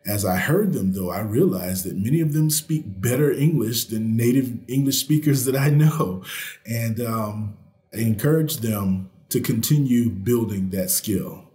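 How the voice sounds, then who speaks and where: A man's voice: medium pitch, unhurried, warm tone, reverberant. A man speaking as a guest on a podcast, earnest and encouraging. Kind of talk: casual interview chat.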